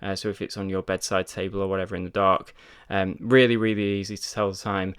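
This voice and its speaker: A man's voice: low voice, little pitch movement, fast talker, smooth. A man talking casually through a watch review, chatty and filled with ums and repeated words. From United Kingdom. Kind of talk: casual product review to camera.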